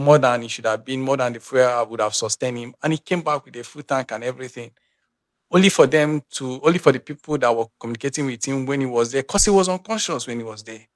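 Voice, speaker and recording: A man's voice: mid-range voice, no echo. A man with a Nigerian accent hosting a reaction channel, thinking aloud and restarting his sentences. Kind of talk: casual reaction commentary.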